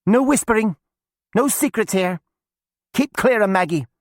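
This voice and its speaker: Sly young witch girl, voiced by a male narrator, high and sharp, bossy. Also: big pitch swings, a little slow, no room sound, clean studio sound. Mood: commanding. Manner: sharp, commanding.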